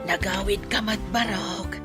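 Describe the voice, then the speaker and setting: A woman playing a worried, doubtful mother of a grown son in an audio drama, husky and creaky. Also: medium pitch, steady tone, a little slow, over loud music, close to an everyday voice.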